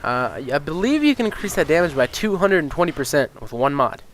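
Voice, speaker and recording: A man's voice: on the high side, a little fast. Casual gamer guy with a sniffly cold, rambling and swearing through his commentary, faint hiss behind. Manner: rambling, with many ums and uhs, and swears freely; gets excited and incredulous about game balance. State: stuffy, sniffly nose. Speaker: gaming YouTuber.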